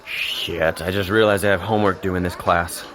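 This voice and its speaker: A man's voice: deep voice, steady tone, moderate pace, background noise, lightly acted. An American man playing a devoted, impulsive teenage boy in an audio drama, blunt and intense in his delivery. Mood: playful. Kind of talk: naturalistic audio drama dialogue.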